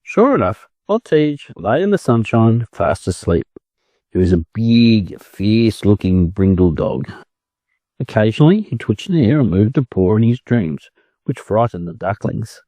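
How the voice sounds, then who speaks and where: A man with an Australian accent reading a children's story aloud in an expressive narrating style. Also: on the low side, measured pace, no echo. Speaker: storybook reader.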